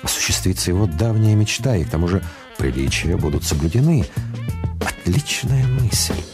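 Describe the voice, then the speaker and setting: A man narrating a scripted radio drama in a measured, literary storytelling manner. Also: deep voice, expressive, music in the background.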